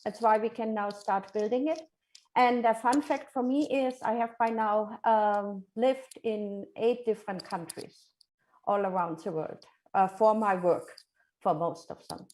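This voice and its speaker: A woman physicist introducing herself in a brisk, confident way. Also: medium pitch, a little mellow. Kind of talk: casual self-introduction.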